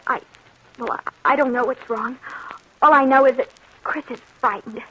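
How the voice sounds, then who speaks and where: A woman's voice: high voice, moderate pace, a bit bright, slightly rough, highs cut off. An actress playing a devoted, anxious young fiancée in her twenties, breathless and polite, in 1940s radio drama style. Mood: distressed. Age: 20s.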